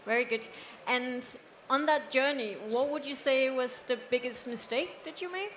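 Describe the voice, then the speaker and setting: A woman interviews a guest on a conference stage, her voice sitting somewhat high. She asks friendly, curious questions in a conversational tone. A faint hiss lies beneath the recording. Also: normal speed, a bit bright.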